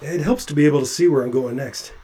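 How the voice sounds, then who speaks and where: An actor playing a nervous, chatty male doctor climbing along a ship's hull, halting with stammering restarts. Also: on the high side, warm tone, lightly acted. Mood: anxious. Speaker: physician on a spacewalk. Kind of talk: audio drama acting.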